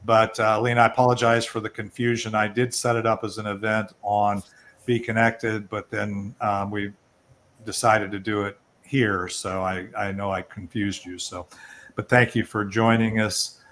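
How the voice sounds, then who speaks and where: A man's voice: lowish voice, little pitch movement, moderate pace, fairly bright tone. An older man hosting an online networking event, talking informally and rambling a little as he presents and takes questions. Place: online video call.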